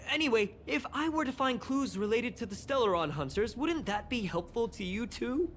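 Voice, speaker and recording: Actress as an animated, excitable young researcher. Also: female voice, on the high side, normal speed, a little hiss or hum, lightly acted. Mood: sheepish, coaxing. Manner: expressive, with sighs, gasps and exclamations. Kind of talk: game dialogue acting.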